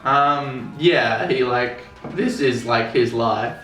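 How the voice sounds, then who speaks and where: A young man co-hosting a casual film review chat, joking and quick to laugh. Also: medium pitch, a bit bright. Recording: roomy, distant mic, faint music.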